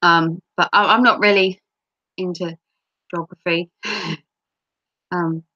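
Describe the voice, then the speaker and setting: A British woman streams while sick with a cold, her voice wheezy and broken by throat clearing. She rambles casually with lots of ums and self-mocking jokes, her tone bright and forceful. The close mic sounds dry and a little dull. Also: fairly low pitch, fairly even intonation, takes it slowly, no echo. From United Kingdom. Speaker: livestream host. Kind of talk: casual livestream chat.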